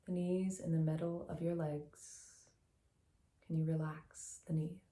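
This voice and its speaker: A woman guiding a meditation as a teacher, speaking in a gentle, soothing, unhurried way with long pauses, in a slightly echoey room. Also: sits low, flat delivery. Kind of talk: guided meditation, soft instructional narration.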